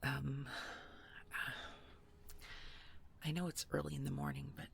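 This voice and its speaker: A woman playing a protective, devoted supernatural girlfriend in an intimate audio roleplay, breathy and halting, often near a whisper. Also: very deep voice, little pitch movement, a little hiss or hum, exaggerated voice. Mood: quiet, affectionate.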